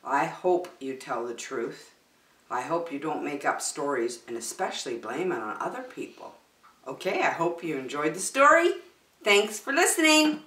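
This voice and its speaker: An older woman hosting story time, reading a picture book aloud to young children with expressive, drawn-out emphasis. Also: low-pitched, distant mic. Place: small decorated indoor room. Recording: roomy echo.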